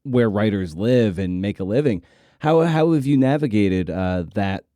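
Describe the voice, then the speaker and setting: A man hosting a casual podcast interview, putting questions in a halting, searching way. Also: on the low side, expressive, warm tone, no room sound, clean studio sound.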